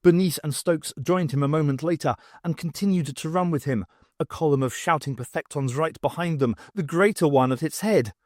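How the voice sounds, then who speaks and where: Higher-voiced man narrating, dry sound. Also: brisk, a little mellow, no room sound. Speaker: audiobook narrator. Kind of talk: scripted story narration.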